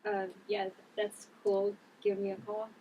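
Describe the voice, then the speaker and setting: A woman's voice: mid-range voice, completely flat delivery, a little slow, dark tone, natural voice. Young superheroine female actor, table read: playful, everyday delivery, distant noisy recording. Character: playful, newly super-strong young heroine.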